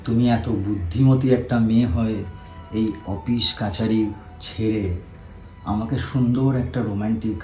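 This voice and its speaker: A lecherous company boss in a melodrama short , acted by a man, speaks in a low, mellow voice. He is insinuating and boastful, cajoling as he goes. The room echoes and music plays faintly behind him. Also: lightly acted. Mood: flirtatious. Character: lecherous, smooth-talking male company boss pressuring his employee. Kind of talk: short-film melodrama acting. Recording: roomy echo, faint background music.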